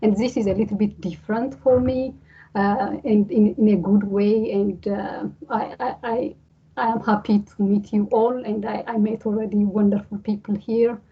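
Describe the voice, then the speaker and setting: A woman's voice: medium pitch, fairly even intonation, moderate pace, breathy edge. A woman from Ethiopia introducing herself on a video call, hesitant, with many fillers and restarts. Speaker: course participant. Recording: dull lo-fi call audio.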